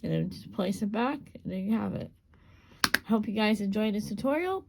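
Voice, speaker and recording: A woman with a gravelly, hoarse voice demonstrates a small craft step by step. Her talk is relaxed and unscripted, with plenty of repeats as she works. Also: medium pitch, normal speed, noisy background. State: voice going hoarse. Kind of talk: casual hands-on craft demonstration.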